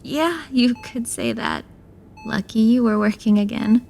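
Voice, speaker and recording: A woman playing a wry, stubborn young heroine in a horror audio drama, with a halting, dry delivery. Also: on the high side, vocal fry, dark tone, a little hiss or hum. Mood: weak, evasive.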